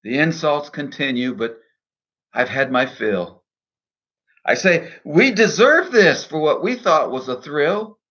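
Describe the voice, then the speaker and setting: A man speaking in a measured, earnest, deliberate way, in the style of a devotional poetry reading and reflection. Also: a little high, animated, bright, forceful tone, highs cut off. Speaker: minister and poet reading own poem.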